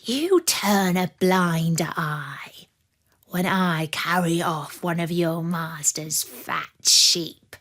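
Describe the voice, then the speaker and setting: A woman voicing a sly, scheming wolf in a fairy tale, coaxing in a slow, breathy, conspiratorial whisper. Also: on the low side, fairly bright tone, pitched below the performer's own voice, heavily acted. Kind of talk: fairy-tale storybook reading.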